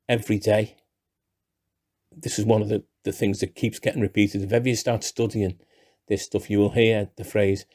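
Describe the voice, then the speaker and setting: A man in his sixties with a Liverpool accent, speaking in a relaxed, chatty way with dry self-deprecating humour. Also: on the low side. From Liverpool, England. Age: 60s. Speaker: playwright. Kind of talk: relaxed talking-head interview.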